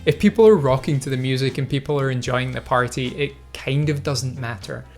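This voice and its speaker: A man's voice: mid-range voice, brisk, a little mellow, faint music behind. A man hosting his own video, talking through viewer comments in a thoughtful, hesitant way with many fillers and restarts. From Scotland. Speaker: video host. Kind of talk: casual reflective commentary.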